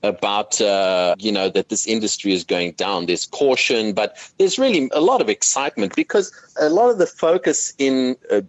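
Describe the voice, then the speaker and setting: A man from South Africa with a South African accent, answering interview questions about crypto, animated and often repeating words as he starts a thought. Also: fairly low pitch, normal speed, hardly any pauses, dry recording, low-quality recording. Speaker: crypto commentator. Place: Copenhagen.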